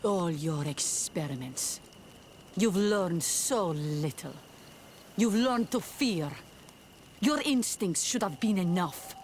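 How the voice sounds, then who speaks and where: An actress playing a proud, stern island clan leader in a video game, speaking in a solemn, deliberate way. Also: female voice, on the low side, expressive, a little mellow, a little hiss or hum. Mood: stern, reproachful. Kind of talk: video game cutscene acting.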